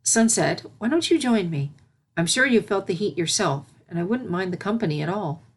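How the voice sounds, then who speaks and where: A woman voicing a regal pony princess in a storybook reading, a gentle, patient mentor with a measured, formal way of speaking. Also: mid-range voice, animated, husky. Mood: gentle, inviting.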